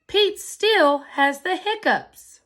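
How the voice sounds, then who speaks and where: Slow, expressive woman reading to preschoolers. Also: extremely high pitch. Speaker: preschool teacher. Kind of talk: reading a picture book aloud to children.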